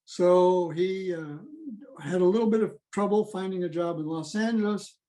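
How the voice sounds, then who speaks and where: A man giving an informal history presentation on a video call, matter-of-fact and halting. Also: high-pitched, dark tone. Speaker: presenter at an old-time radio club meeting.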